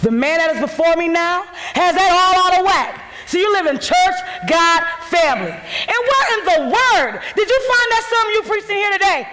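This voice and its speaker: High-voiced, fed-up pastor's wife played by an actress, echoing hall. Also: female voice, animated, normal speed, few pauses, bright, forceful tone, a little hiss or hum, exaggerated voice. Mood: indignant. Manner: emphatic, preachy, reproachful. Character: fed-up, devout pastor's wife confronting her self-righteous husband. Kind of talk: gospel stage play acting. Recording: blown-out bootleg audio, echoing theater hall.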